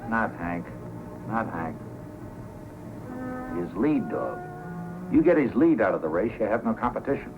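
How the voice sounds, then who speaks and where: A man's voice: on the low side, sing-song, a little slow, pauses often. An actor playing a shady, sly henchman in old-time radio drama style. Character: low-voiced, shady male henchman. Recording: tinny old radio recording with music.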